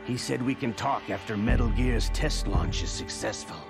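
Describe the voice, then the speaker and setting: An actor playing a cool, pragmatic henchman to a villain in a video game cutscene, measured and matter-of-fact. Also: mid-range voice, creaky, over music, close to an everyday voice. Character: cool, pragmatic Russian gunman, the boss's right-hand man.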